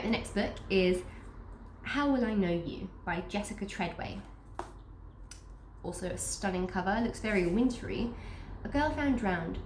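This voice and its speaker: A woman with a stuffy nose from a cold, chatting upbeat through a book box unboxing and reading the blurbs aloud. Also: on the low side. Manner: chatty, upbeat, restarts sentences. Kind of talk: casual unboxing chat with read-aloud blurbs. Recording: echoey room with faint noise.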